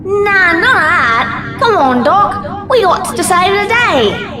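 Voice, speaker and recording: Woman playing a very high-voiced plucky ghostly girl. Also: expressive, slow pace, full character voice. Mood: tender, brave. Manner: informal, dropped words, gentle. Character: plucky, plain-spoken working-class young woman's lingering soul. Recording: echoey, music behind.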